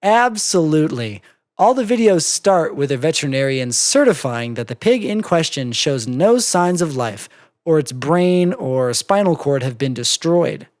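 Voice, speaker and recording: Performer as a slow, unflappable female pony. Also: mid-range voice, animated, darkish tone, lightly acted. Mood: matter-of-fact. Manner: measured, reasonable, explanatory. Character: calm, matter-of-fact, unflappable female cartoon pony.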